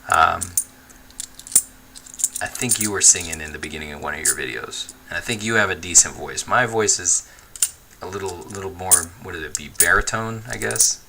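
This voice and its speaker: A man hosting a livestream, chatting casually and reading viewer comments in a relaxed, easygoing way, laughing often and thinking aloud with fillers. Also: low-pitched, moderate pace, gravelly, noisy background. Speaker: livestream host.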